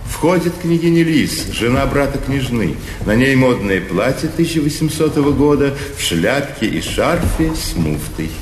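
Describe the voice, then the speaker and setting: A male narrator reads scripted stage directions with a very varied pitch. His voice sits in a reverberant hall over clear background noise. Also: very animated, normal speed, few pauses. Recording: echoey, noisy theatre recording.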